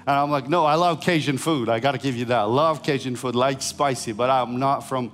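A middle-aged man from Ukraine with a Ukrainian accent, preaching a sermon on a large church stage, swinging between rising emphatic lines and quiet lowered ones. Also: a little fast. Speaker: pastor.

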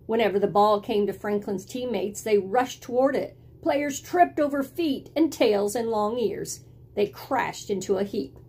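A middle-aged woman reading a picture book aloud in an expressive, deliberate storytelling style, indoors at home. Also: medium pitch, husky. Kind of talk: expressive children's read-aloud. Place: small indoor room at home with bookshelves.

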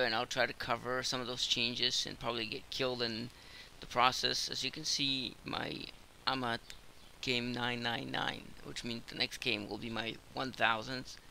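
A man's voice: mid-range voice, level tone, moderate pace, vocal fry, pushed and bright, a little hiss or hum, poor audio. A man with a cold, casually commenting on his own gameplay while he plays, rambling with lots of fillers. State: hoarse from a cold.

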